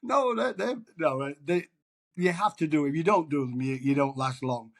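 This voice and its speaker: A man's voice: on the high side, moderate pace. A middle-aged man from England, chatting in an interview as a tribute singer, self-deprecating and rambling with restarts. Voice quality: husky. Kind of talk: casual interview chat.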